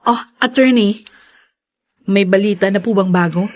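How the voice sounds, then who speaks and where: A woman's voice: mid-range voice, normal speed, natural voice. An actress playing a wronged young woman seeking justice in a Filipino radio drama, earnest and emotional. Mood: anxious.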